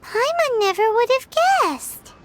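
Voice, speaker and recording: A woman voicing a tiny, childlike, excitable floating fairy companion in a video game. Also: very high voice, slow, very noisy, full character voice. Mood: curious, puzzled. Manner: speaks of self in third person, exclamatory.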